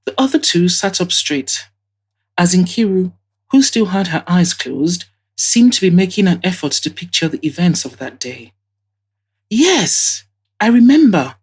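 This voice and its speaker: Female narrator, deep register, husky tone, scripted first-person storytelling, audio drama. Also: animated, normal speed.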